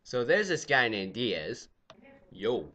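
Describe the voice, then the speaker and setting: Animated goofy teen lecturer. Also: male voice, medium pitch, slow pace, over loud music. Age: teens. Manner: goofy, animated, jumps between loud exclamations and mutters. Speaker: student presenter. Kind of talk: comedic lecture with skits.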